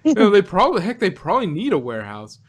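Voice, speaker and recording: A man's voice: sits high, wildly swooping pitch, quick pace, husky. A man co-hosting an audio drama review podcast, talking in a rambling way with many restarts and fillers. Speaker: podcast co-host. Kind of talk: casual chat.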